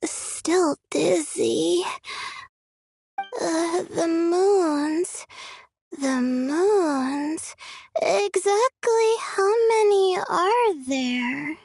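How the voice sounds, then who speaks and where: A woman's voice: very high voice, very slow, gravelly, darkish tone, no echo, exaggerated voice. A woman playing an exhausted, delirious young scholar in a video game, dizzy and groaning. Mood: dazed, pained.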